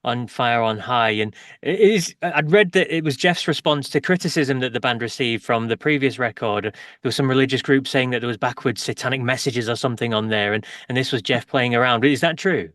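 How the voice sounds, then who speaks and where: A man's voice: mid-range voice, a little fast, few pauses, a bit bright, dry recording, studio quality. A man hosting an interview, asking leading questions that often end in tags. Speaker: interview host.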